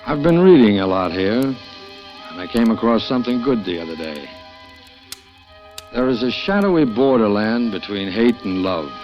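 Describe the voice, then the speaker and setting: Brooding jealous husband, low confiding narration, deep voice, dull old radio sound with music. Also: male voice, very animated, normal speed. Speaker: narrator and lead. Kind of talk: 1940s radio drama acting.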